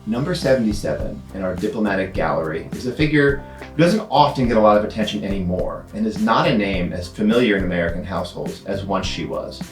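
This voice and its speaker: A man's voice: lowish voice, lively intonation, fast talker, echoey room, soft background music. A man presenting to camera as a playful, self-aware museum education host.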